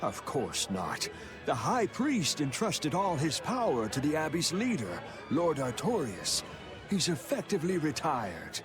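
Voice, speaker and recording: A man's voice: a little high, huge pitch swings, measured pace. A man voicing a proud, earnest townsman in a video game, emphatic and loyal. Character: proud, devout townsman loyal to his rulers. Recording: music behind the voice.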